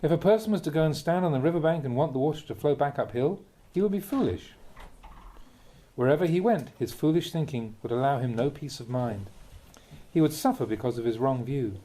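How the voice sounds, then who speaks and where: A man reading a Buddhist teaching aloud as a Dhamma talk, in measured, deliberate phrases with emphatic contrasts. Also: fairly high pitch, animated, dark tone.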